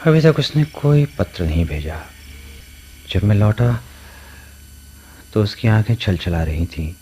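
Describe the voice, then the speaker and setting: Lovelorn, kindly man: earnest, dramatic radio-play delivery with lively swings in pitch. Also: takes it slowly, slight background noise. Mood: brooding. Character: earnest, kindly, lovelorn man torn between two women. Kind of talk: Hindi radio play acting.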